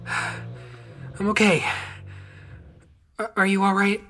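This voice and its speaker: A woman's voice: medium pitch, lively intonation, very slow, fairly bright tone, heavily acted. A woman playing a cautious, worried young companion in an audio drama, with a hesitant, anxious delivery. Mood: wary. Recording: music under the voice.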